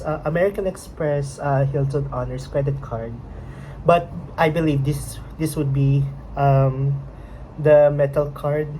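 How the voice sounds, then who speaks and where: Young Filipino-accented woman, unhurried home vlog; low, mellow voice, lots of hesitant pauses, faint room hum behind. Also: low-quality recording. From Philippines. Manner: hesitant, searching for words, many fillers. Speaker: vlogger. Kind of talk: casual unboxing vlog. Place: home at night.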